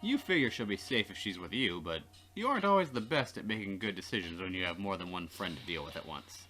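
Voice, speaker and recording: A man's voice: low voice, very animated, quick pace, sharp tone, low-quality recording. A man casually narrating a game and bantering with a co-host. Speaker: let's player. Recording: game music behind the voice. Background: game music.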